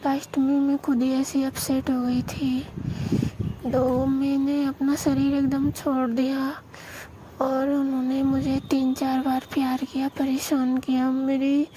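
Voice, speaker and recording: A young woman on a phone call, confiding and recounting a story in a hushed way. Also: high voice, completely flat delivery, slow. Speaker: caller. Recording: phone call recording with hiss.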